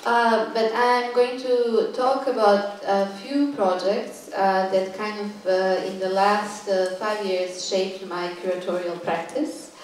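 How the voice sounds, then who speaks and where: A woman's voice: medium pitch, steady tone, recorded from a distance. Woman lecturing in an echoey room. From Serbia. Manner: halting, thoughtful, stops often to find words. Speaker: guest curator giving a talk. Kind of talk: lecture to a live audience. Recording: echoey room, voice picked up from afar.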